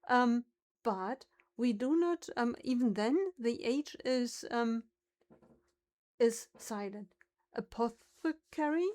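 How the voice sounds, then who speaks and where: A woman's voice: fairly high pitch, animated, takes it slowly, a little mellow. A woman with a German accent, a native German speaker, chatting casually on a livestream and musing aloud over how words are pronounced.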